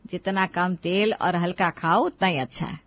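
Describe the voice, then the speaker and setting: A woman's voice: on the low side, normal speed, dry recording, dull audio, close to an everyday voice. A woman playing a caring, thrifty middle-aged mother in a cartoon moral story, reasoning and scolding in a homely way.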